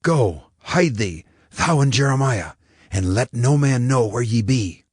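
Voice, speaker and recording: Casting: a grave ancient court official, very slow and deliberate, raspy voice, dramatized scripture. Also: male voice, mid-range voice, lively intonation, a little mellow, no room sound, studio quality. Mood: grave, urgent. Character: grave royal official questioning and warning a scribe.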